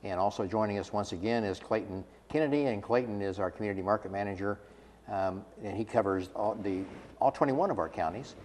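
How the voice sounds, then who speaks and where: A man hosts a small local interview program, greeting guests in a friendly way and restarting sentences often. His voice is on the low side. A long echo and faint hiss hang on the sound. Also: a little fast, darkish tone. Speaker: host, chapter executive director. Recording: echoey studio with faint hiss.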